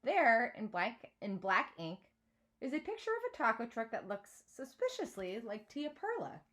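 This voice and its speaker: A woman reading a children's novel aloud like a teacher, with expressive, dramatised narration. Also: on the high side, normal speed.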